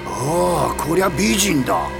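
Gravelly, animated anime tinkerer. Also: male voice, on the high side, measured pace, heavily acted. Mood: matter-of-fact. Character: gruff matter-of-fact male tinkerer repairing cyborgs. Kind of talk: anime voice acting. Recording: music behind the voice.

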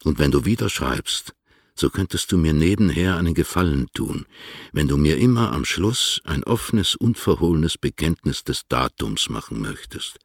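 A low, creaky, husky male voice, recorded dry and close. He plays a stately older poet answering letters in a literary radio play, measured and formal, with gentle irony. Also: animated, no echo, full character voice. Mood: benevolent, composed.

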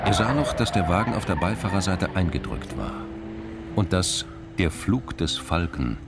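A middle-aged man with a gravelly voice reading scripted literary crime narration. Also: low-pitched, animated, normal speed, heavy background noise.

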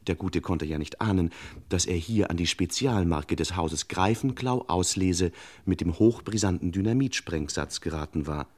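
Deep, pompous radio serial narrator. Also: male voice, moderate pace. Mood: mock-solemn. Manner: ornate, mock-solemn, deadpan. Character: pompous, mock-solemn male narrator of a crime parody.

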